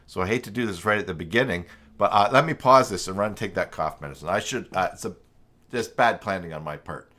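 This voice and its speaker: A man hosting a casual web design live stream, rambling and self-deprecating, his voice croaky from a recent illness with an occasional cough. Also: low voice, fast, sharp tone.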